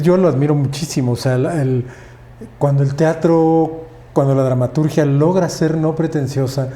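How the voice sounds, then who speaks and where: A man's voice: on the high side, dark tone, echoey room. A man hosting a podcast interview, attentive and affirming. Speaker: podcast host. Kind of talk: interview conversation.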